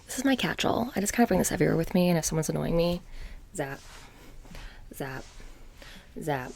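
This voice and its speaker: A woman playing a playful, menacing witch shopkeeper in a soft-spoken ASMR roleplay, breathy and often whispering, with a casual deadpan delivery. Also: deep voice, a little fast, slight background noise. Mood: playful teasing. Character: playful, menacing, petty witch shopkeeper selling haunted trinkets.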